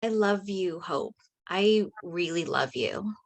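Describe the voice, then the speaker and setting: An actress at a table read playing an emotional, chatty teenage best friend. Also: female voice, on the low side, lively intonation, takes it slowly.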